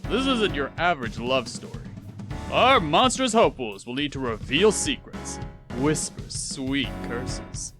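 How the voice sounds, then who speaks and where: Theatrical monster tv host for a spooky dating game, super animated showman patter, music behind. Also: on the high side. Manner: showy, grandiose game-show patter. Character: theatrical pumpkin-headed male monster dating show host. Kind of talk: visual novel voice acting.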